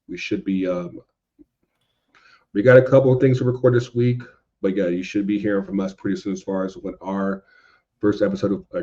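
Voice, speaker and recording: American man, lowish pitch, dark relaxed tone, casual slangy podcast guest, repeats himself for emphasis, dull lo-fi audio.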